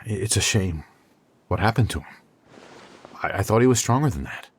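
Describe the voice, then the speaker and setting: A man's voice: lowish voice, lively intonation, moderate pace, a little hiss or hum, natural voice. A man voicing a self-justifying, callous academic in a dramatic video game scene, defensive and reproachful. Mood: regretful, defensive.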